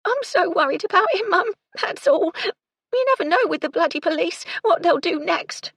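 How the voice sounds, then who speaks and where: A woman's voice: high voice, lively intonation, normal speed, fairly bright tone, dry recording, pitched above the performer's own voice. A woman voicing a distraught, outspoken young housemaid in a story, with colloquial, ungrammatical servant speech. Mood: tearful, anxious.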